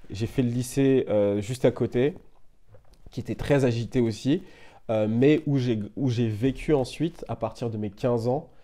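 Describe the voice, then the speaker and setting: Young French man, interview guest, easygoing and rambling, mellow low-brightness tone. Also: moderate pace. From France. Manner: relaxed, meandering, frequent "euh" and "tu vois". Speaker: interview guest, media founder. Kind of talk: casual conversational interview.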